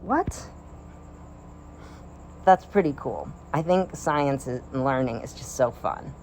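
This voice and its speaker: A man's voice: mid-range voice, lively intonation, takes it slowly, a little mellow, a little hiss or hum, low-quality recording. A man in his thirties talking through a science topic in a casual monologue, rambling and hesitant with many fillers and restarts, but wondering and enthusiastic. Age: 30s. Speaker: vlogger.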